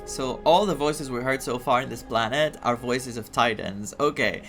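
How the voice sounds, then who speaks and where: A man from Greece doing game playthrough commentary and reading game text aloud in an expressive, enthusiastic manner. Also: mid-range voice, normal speed. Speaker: gaming streamer. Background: game music.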